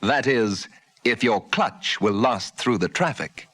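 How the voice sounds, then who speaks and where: A man's voice: lowish voice, animated, slow. A man reading an old radio commercial in punchy, conversational sales patter. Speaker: commercial announcer. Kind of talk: vintage radio advertisement read. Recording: vintage radio recording. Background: music and vehicle sounds.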